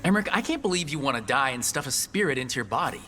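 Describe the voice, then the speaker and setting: An actor playing a sceptical young adventurer hero in a video game, with a casual, wry, questioning manner. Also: male voice, mid-range voice, expressive, quick pace, noisy background, close to an everyday voice. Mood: incredulous. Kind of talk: conversational video game dialogue. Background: game music.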